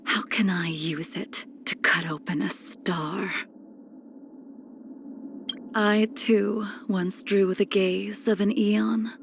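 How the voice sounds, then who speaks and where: A woman playing a cold, ageless, melancholy swordswoman in a video game, speaking in formal, solemn phrases that trail into breathy near-whispers. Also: mid-range voice, fairly even intonation, takes it slowly, pauses often, a little hiss or hum. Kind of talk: scripted video game character lines.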